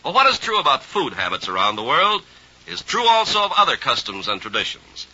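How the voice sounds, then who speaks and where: A man's voice: mid-range voice, huge pitch swings, fairly quick, bright, forceful tone, husky, muffled recording. A man hosting a vintage radio show, reading a scripted address in 1950s announcer style on an old vinyl recording. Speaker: radio host.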